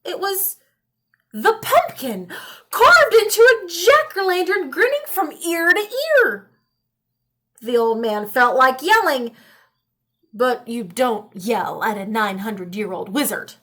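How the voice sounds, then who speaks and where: High, lively woman reading a storybook. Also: slow pace. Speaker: storybook reader. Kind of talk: animated children's read-aloud narration.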